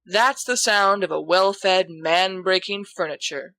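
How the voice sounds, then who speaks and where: A woman's voice: medium pitch, takes it slowly, bright, forceful tone, no echo. A woman voicing a brash, teasing teenage boy in a theatrical, mocking way, as in an audiobook. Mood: mock solemn teasing. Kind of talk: expressive audiobook character dialogue.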